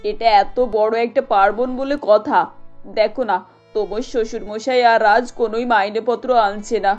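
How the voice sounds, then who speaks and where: A woman's voice: on the high side, steady tone, moderate pace, a little mellow, close to an everyday voice. A woman voicing a worried, affectionate middle-aged mother-in-law in a cartoon story, on a dull recording. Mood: worried, rueful. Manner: fretful, affectionate. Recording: dull lo-fi sound, music behind.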